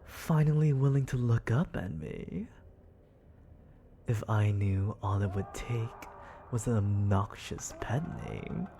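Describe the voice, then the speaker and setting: A man voicing a cruel, condescending demon lord in an ASMR audio roleplay, murmuring slow taunts at the listener with a breathy, creaky voice. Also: on the low side, mellow, slight background noise. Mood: teasing, taunting. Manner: condescending, taunting, languid and deliberate. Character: smug, menacing male demon lord, cruel and toying.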